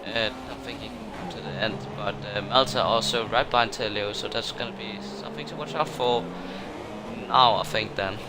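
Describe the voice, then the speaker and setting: A man's voice: lowish voice, moderate pace. A man commentating a racing game league, his voice jumping up when incidents happen. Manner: excitable, rises sharply on incidents. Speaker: race commentator. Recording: noisy game audio behind. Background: racing game engine noise.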